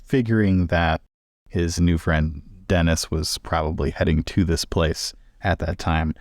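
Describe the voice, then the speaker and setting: A man casually narrating his character's actions as a player in a tabletop role-playing game, pausing between phrases. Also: low-pitched, fairly even intonation, no echo, studio quality.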